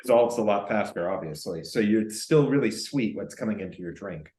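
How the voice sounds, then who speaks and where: A man's voice: fairly low pitch, animated, brisk, a little mellow, reverberant. A man from Arkansas co-hosting a cocktail show over a video call from his home, teasing and explaining with confidence. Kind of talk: casual video call chat. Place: home in Miami.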